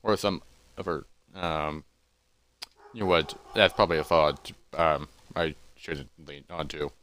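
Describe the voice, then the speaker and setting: A man's voice: very deep voice, steady tone, a little slow, a bit bright, slight background noise, voice pushed down. A man voicing a cautious, scholarly adventurer who mutters hesitantly to himself in a fantasy story. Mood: worried, hesitant.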